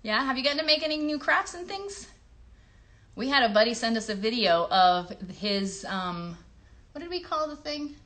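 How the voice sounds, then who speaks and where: A woman's voice: a little high, animated, normal speed, fairly bright tone. A woman hosting a children's livestream, chatting casually to young viewers with cheery, sing-song greetings. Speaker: host of children's livestream, mother.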